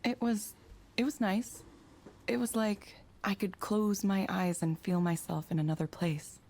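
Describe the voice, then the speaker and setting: An actress playing a reserved, thoughtful new counsellor in a visual novel, speaking in a hesitant, measured way. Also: female voice, mid-range voice, fairly even intonation, a little mellow. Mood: tentative. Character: reserved, thoughtful woman, newly hired counsellor in a sci-fi game.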